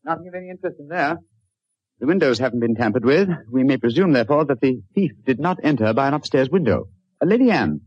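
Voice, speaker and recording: An actor playing a brilliant, self-assured detective with a British accent, clipped, precise and courteous in a theatrical radio-drama style. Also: male voice, mid-range voice, lively intonation, fast talker, slightly rough, no echo. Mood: absorbed, brisk. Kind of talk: 1940s radio drama acting. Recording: dull, dry old radio recording.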